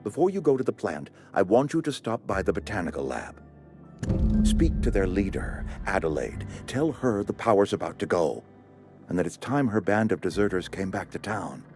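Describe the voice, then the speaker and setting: An actor playing a pompous, courteous company administrator in a video game, speaking in a formal, wordy, officious way. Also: male voice, deep voice, very animated, normal speed, over music. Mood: grave, earnest. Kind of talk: video game character acting.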